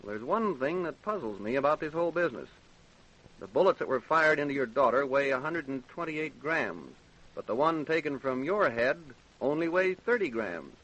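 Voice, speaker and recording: An actor with an American accent playing a blunt, dogged sheriff who questions suspects curtly in an old-time radio crime drama. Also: male voice, fairly high pitch, animated, moderate pace, fairly bright tone. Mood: pressing, probing. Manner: curt, pressing questioner. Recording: dull, lo-fi old radio recording.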